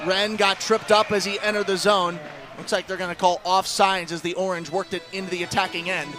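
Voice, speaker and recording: High male voice, hockey play-by-play announcer, live radio broadcast, detailed action calls, music playing loudly behind. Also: little pitch movement. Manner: rapid descriptive calls, raised voice on action.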